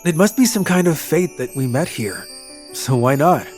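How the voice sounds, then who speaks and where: A man playing a kind, lovelorn young traveller in an English manga dub, narrating his story and speaking in an earnest, soft-hearted way. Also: a little high, expressive, moderate pace, soft background music, close to an everyday voice. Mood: earnest, concerned.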